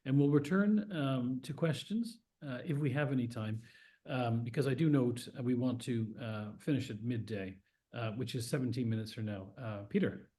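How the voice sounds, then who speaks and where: Mellow-voiced male moderator. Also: mid-range voice, moderate pace. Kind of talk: moderating an online panel.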